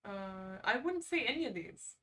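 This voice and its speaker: A woman's voice: on the high side, animated, moderate pace, bright, forceful tone, recorded from a distance. A woman hosting a casual livestream, chatting informally and reacting off the cuff with sarcastic asides. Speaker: livestream host. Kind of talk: casual livestream chat, reading quizzes aloud.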